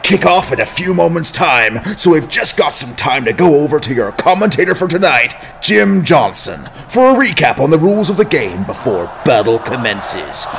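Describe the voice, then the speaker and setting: A man voicing an excitable fantasy sports announcer in booming, theatrical parody commentary. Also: normal speed, vocal fry, hardly any pauses, slight background noise, heavily acted. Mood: hyped.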